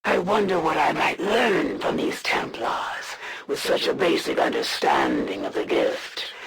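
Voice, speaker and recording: An actor voicing a proud, menacing alien female assassin in a video game, speaking formally and deliberately with a gravelly, creaky voice. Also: medium pitch, huge pitch swings, background noise, full character voice. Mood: cold menace. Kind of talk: dramatic video game character voice lines.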